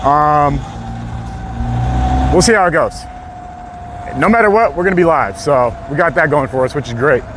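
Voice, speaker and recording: A man with a hoarse, worn-out voice chatting casually to his live-stream viewers outdoors on a city street. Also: a little high, normal speed, pauses often. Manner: chatty, laid-back, talks straight to viewers. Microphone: small clip-on mic. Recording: loud street noise outdoors.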